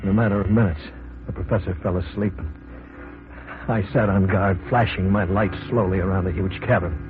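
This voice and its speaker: A man's voice: low-pitched, fairly even intonation, fairly quick, close to an everyday voice. A man playing an anxious cave explorer in a vintage radio horror drama, tense and dramatic in delivery. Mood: tense, haunted. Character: anxious male cave explorer, the professor's companion, narrating. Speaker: radio drama actor and first-person narrator. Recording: tinny, noisy old radio recording.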